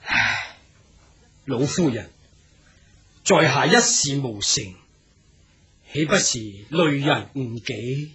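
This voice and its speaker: Polite young gentleman wanderer, hong kong cantonese radio drama, very up-and-down voice, takes his time. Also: medium pitch, animated, takes it slowly, pauses often, slightly rough, exaggerated voice. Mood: hesitant, pondering. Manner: formal, humble, archaic courtesy.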